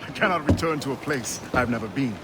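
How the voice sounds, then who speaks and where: Dignified sailor, played by a man in loud noise. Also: medium pitch, fast, natural voice. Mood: thoughtful, proud. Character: dignified male quartermaster, born a slave, thoughtful and firm. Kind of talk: video game cutscene acting.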